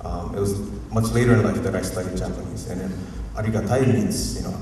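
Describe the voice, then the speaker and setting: A university professor from Hawaii with a Hawaiian accent, giving a rambling, informal, unscripted talk at a community forum and often chuckling. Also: male voice, low-pitched, fairly even intonation, quick pace, a little mellow. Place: museum auditorium. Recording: echoey hall, distant mic, faint noise.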